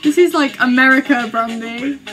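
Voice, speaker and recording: A woman's voice: high voice, normal speed. A young woman chatting casually in a gushing, giggly, exclamatory way, as a vlogger reacting to videos. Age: young adult. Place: small bedroom, indoors. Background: music from videos being watched.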